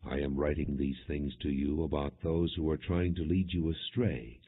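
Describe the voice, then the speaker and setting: A man narrating an audio Bible in a measured, solemn reading with deliberate phrasing. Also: very deep voice, fairly even intonation, slightly rough. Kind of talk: non-dramatized Bible reading. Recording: muffled, phone-like narrow-band audio.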